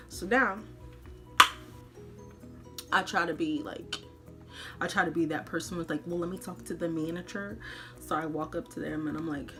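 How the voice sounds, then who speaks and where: A woman podcast host telling a personal story in an animated, colloquial way, dramatically acting out the dialogue. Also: on the high side.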